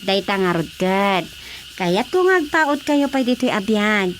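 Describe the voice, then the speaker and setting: An actress playing an earnest, inquisitive young Filipino village daughter in a radio drama. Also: female voice, mid-range voice, animated, measured pace, a little hiss or hum, natural voice. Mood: concerned. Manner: questioning, earnest.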